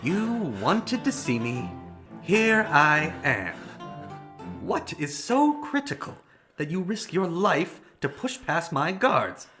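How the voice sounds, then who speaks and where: A haughty ruler in an audio drama, speaking slowly and with commanding weight. His pitch sits a little high and swings widely, theatrical and imperious. Faint music plays behind him. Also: sing-song. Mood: stern, demanding. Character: imperious, haughty prince ruling a stricken realm.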